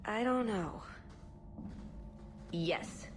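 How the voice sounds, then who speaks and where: A woman's voice: mid-range voice, very slow, over music. A woman voicing a determined, earnest elven assassin in a video game, purposeful but inwardly conflicted. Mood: uneasy.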